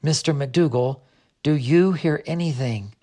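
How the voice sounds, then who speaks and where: A man voicing an urgent, hushed female lookout. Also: on the high side, measured pace. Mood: watchful. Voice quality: hushed, whispery. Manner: urgent, commanding. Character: Greta, urgent, protective female guide on the lookout.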